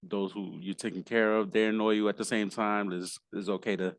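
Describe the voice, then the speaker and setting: A hoarse man hosting a podcast, his voice sitting very low. He rambles casually through his intro, full of ums and uhs and false starts, and the sound is dry and close. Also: flat delivery, fairly quick, no room sound. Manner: rambling with many fillers and restarts. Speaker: podcast host.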